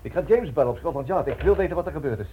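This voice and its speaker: Man acting an anxious defiant father, middle-aged, animated pitch, radio play, muffled old recording with faint noise. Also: rapid-fire, lightly acted. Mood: uneasy. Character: anxious, stubborn father of a kidnapped son, defiant. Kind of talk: Dutch radio drama acting.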